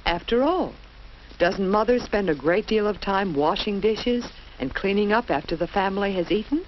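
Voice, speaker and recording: An American woman narrates a 1950s instructional film for children with very lively, swooping intonation. She speaks didactically in simple phrases, putting questions to her young listeners. The old soundtrack is dull, with a slight layer of noise. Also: mid-range voice, normal speed.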